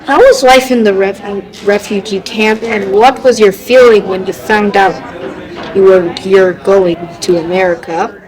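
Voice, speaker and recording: A woman asking prepared interview questions in a careful, halting way. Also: medium pitch, fairly even intonation, a little mellow, some chatter behind, poor audio. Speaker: interviewer, student reader.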